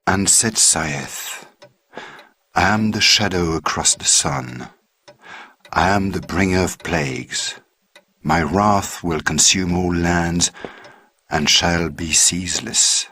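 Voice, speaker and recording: A man's voice: low-pitched, slow pace, pushed and bright, full character voice. A man playing a grave, learned antiquities expert in a video game cutscene, solemn and ominous, with slightly noisy audio.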